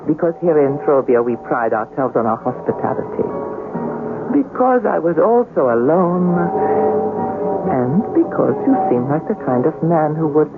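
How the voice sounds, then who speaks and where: A bold, coquettish young foreigner. Her delivery is very animated and teasing, in old radio drama style. The sound is muffled, with music playing underneath. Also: low voice, moderate pace. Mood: poised, inviting. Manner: coquettish, poised, playful. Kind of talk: 1970s radio drama acting.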